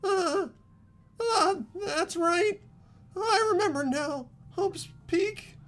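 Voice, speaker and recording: A performer voicing a delirious, tearful dying teenage boy in a fan game dub, weak and gasping with drawn-out words. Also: very high-pitched, a bit bright, heavily acted. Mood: weak, dazed. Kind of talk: fan-dubbed visual novel acting.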